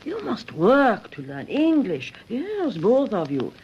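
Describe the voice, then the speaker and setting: A woman's voice: fairly high pitch, wildly swooping pitch, slow pace, darkish tone, highs cut off, full character voice. A motherly immigrant shopkeeper woman, speaks. She is encouraging and sympathetic, her intonation rising and falling freely, with slight noise behind her. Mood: kindly, encouraging. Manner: coaxing, fond, encouraging. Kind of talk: radio drama acting.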